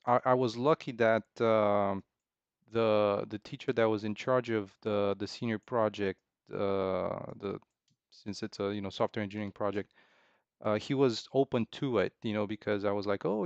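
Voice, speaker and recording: A man chatting casually and unscripted as he answers questions, rambling haltingly and circling back over his phrases. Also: lowish voice, fairly even intonation, clean tone, dry recording, highs cut off. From Romania. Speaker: game developer streaming.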